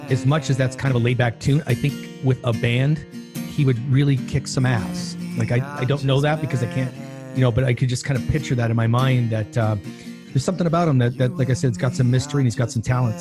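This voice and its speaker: Fast-talking joking man judge. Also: medium pitch, music in the background. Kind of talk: casual panel chat.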